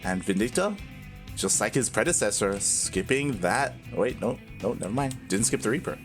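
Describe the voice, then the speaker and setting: A man with a light Australian accent calls a video game match live through a headset, his pitch jumping around as he chats. He laughs easily and sounds short on sleep. Faint music plays under him. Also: normal speed. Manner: chatty, laughs often, excitable. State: tired from staying up overnight. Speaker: esports caster.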